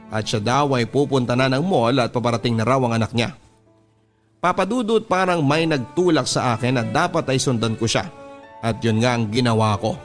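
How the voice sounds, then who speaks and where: Male radio drama narrator, Filipino accent, mid-pitched, confessional and sentimental storytelling with music underneath. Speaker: radio drama host reading a listener's letter. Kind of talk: dramatic first-person letter narration. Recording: music bed behind the voice. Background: background music.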